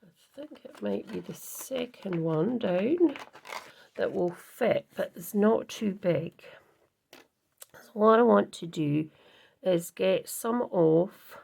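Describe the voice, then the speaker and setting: A woman hosting her card-making channel, chatting casually through a crafting tutorial with a croaky voice, rambling and correcting herself as she goes. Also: mid-range voice, darkish tone, slight background noise. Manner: rambling, self-correcting, many ums. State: croaky, husky voice.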